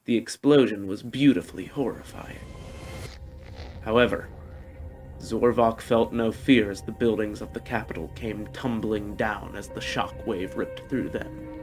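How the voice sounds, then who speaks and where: A man reads a scripted storybook narration in the manner of an amateur audiobook. His voice sits at an ordinary middle pitch, and he delivers the prose as a narrator. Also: unhurried, darkish tone, soft background music.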